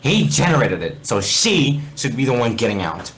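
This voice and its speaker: A man's voice: medium pitch, very animated, moderate pace, fairly bright tone, poor audio. A man reading a fan fiction story aloud informally, stumbling over words and correcting himself. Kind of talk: informal read-aloud narration among friends.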